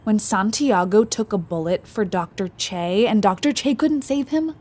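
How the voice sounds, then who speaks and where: A woman's voice: mid-range voice, normal speed, darkish tone, natural voice. A woman playing a devoted, pleading female cat in an audio drama. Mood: reassuring, insistent.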